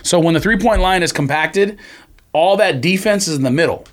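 A man's voice: sits high, fairly quick. Argumentative man debating sports. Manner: argumentative, explanatory. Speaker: podcast co-host.

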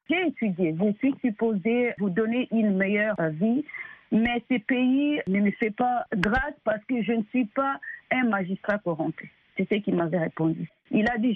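Earnest Congolese woman on a phone-like line. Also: mid-range voice. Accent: Congolese French. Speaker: interview guest, economist. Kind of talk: interview answers, explaining with personal examples. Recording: tinny, phone-like band-limited line.

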